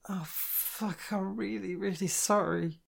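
A woman's voice: medium pitch, steady tone, vocal fry, pitched above the performer's own voice, full character voice. A woman playing a drunk, teary young woman. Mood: miserable. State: drunk, slurring, crying.

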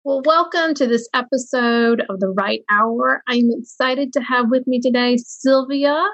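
Enthusiastic lady podcast host, kinda high voice, chatty interview. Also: measured pace, a bit bright, highs cut off. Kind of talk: warm scripted intro then interview chat.

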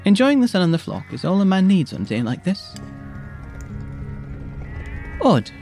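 A woman's voice: mid-range voice, very animated, moderate pace, mellow, faint music behind. A woman playing a grumbling, folksy male shepherd in an audio drama, chatty and wry. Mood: easygoing. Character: grumbling male shepherd prophet fond of his animals.

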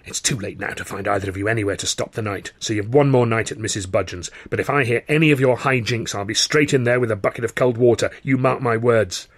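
A man voicing a gruff, threatening touring company manager in a story, hissing and scolding. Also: fairly low pitch, fast, hardly any pauses, dry recording, close to an everyday voice. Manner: hissed, scolding. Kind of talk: audiobook character voice.

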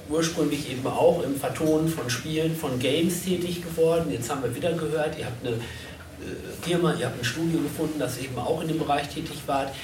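A man speaking as a panel moderator, putting spontaneous interview questions, recorded from a distance in a noisy, echoey room. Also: fairly high pitch. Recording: very echoey room, distant mic, clear noise.

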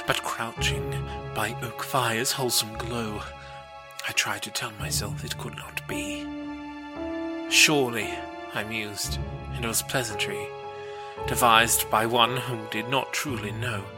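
A man reads verse in a measured, solemn way. His voice is low and very steady in pitch, with slow pacing. Music plays behind him. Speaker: poetry podcast host and reader. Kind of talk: dramatic poetry reading and scripted narration.

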